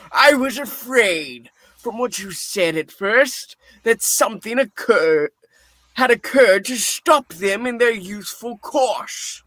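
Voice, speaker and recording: Miserly old male boss for a radio play, man acting: high, bright, slow-paced, irritable and scornful. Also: lively intonation, no echo, heavily acted. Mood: curt, sarcastic. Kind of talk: dramatic play reading, radio-play style.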